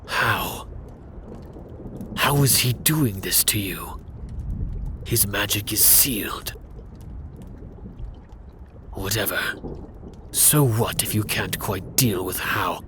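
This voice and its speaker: A man narrating a scripted second-person fantasy story for ASMR in a hushed, intimate, dramatic way. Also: fairly low pitch, background noise.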